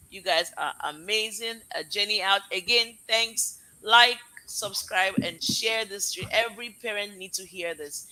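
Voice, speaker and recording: A woman with a Nigerian accent blended with American tones hosts a casual live stream. Her voice is intensely bright and sharp. She pours out effusive, heartfelt thanks and rambles warmly from one guest to the next. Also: medium pitch, poor audio. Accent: Nigerian with American mix. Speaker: live show host, parent.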